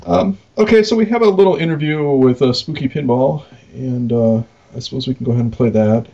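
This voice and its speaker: A man's voice: medium pitch, fairly quick, gravelly, mellow. A man co-hosting a podcast in casual, unscripted shop talk, rambling informally with some mildly crude slang. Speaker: podcast co-host, arcade game operator. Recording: dull lo-fi voice recording.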